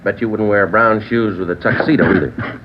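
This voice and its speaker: An actor playing a relentless police detective in a 1950s radio drama, questioning in a dry, clipped, needling deadpan. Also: male voice, on the low side, fairly quick, lightly acted. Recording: dull, noisy vintage radio recording.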